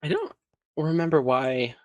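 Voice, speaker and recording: A man playing along on a livestream, giving brief, low-key replies. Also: big pitch swings, unhurried, a little mellow, dry recording, highs cut off. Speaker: co-player. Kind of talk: casual livestream chat.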